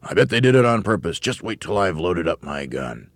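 A man voicing a nasty, dim-witted old husband in a blustering, shouty storybook voice. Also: low voice, big pitch swings, a little fast, no echo. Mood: angry.

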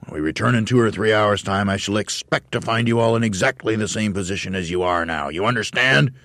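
A man's voice: on the low side, a little fast, fairly bright tone, dry recording, pitched above the performer's own voice. A man playing a cruel, bullying old man in a storybook, snarling and barking orders.

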